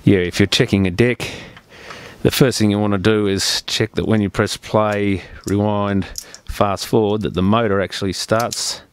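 A man's voice: on the low side, fairly even intonation, moderate pace, clear, resonant tone. A man presenting an unscripted hands-on repair tutorial as a technician, explaining each step in a plain-spoken, practical way.

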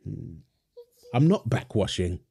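A man speaks slowly, easing through each line without rushing. His tone is soft and mellow, with no edge to it. He performs a wholesome audio roleplay as a teasing husband and a fond dad who coos to a baby. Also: on the low side, very animated, vocal fry, slightly rough.

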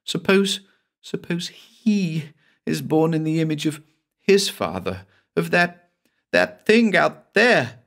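A man's voice: fairly high pitch, animated, takes it slowly, a bit bright, pitched above the performer's own voice, heavily acted. A man putting on a frantic pleading young woman. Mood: pleading desperately.

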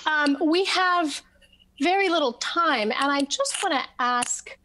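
Slow, halting woman moderating a panel. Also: sits high, animated, background noise. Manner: halting, many ums and restarts. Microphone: webcam on video call.

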